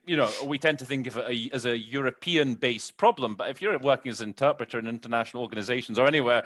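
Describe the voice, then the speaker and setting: A man's voice: medium pitch, fairly bright tone. A man hosting a show in a casual, chatty way, rambling and often correcting himself as he explains things. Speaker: host. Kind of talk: casual spoken introduction to an interpreting practice show.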